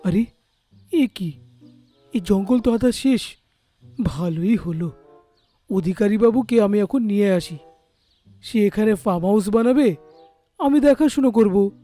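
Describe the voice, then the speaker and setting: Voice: medium pitch, unhurried, long pauses, mellow, faint music behind, natural voice. An actor playing a greedy, scheming male hunter who gloats in a cartoon. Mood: gloating.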